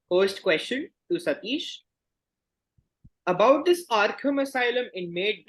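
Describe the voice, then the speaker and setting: Male quizmaster, slightly high-pitched, formal and measured delivery of trivia questions. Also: a bit bright, low-quality recording. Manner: formal, measured question reading with set confirming phrases. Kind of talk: reading quiz questions in an online quiz game.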